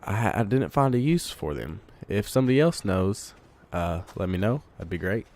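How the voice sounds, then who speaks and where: Deep-voiced congested man playing a game. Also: normal speed. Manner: relaxed, thinking aloud while playing. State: congested from a cold. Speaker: gamer. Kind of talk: casual let's play commentary.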